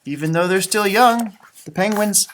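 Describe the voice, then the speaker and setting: A man reading a children's picture book aloud in an expressive, teacherly way, with casual chatty asides. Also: sits high, normal speed, a little hiss or hum. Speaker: storybook reader, elementary school teacher.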